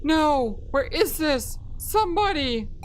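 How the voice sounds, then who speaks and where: A woman's voice: high voice, animated, slow, faint music behind, pitched above the performer's own voice. A woman voicing a frightened teenage girl in a horror game, with urgent, pleading exclamations. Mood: terrified.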